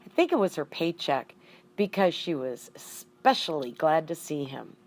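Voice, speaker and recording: A woman reading a children's story aloud in an expressive, deliberate manner with emphatic stresses. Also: low-pitched. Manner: deliberate, with emphatic repeated words. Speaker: audiobook reader.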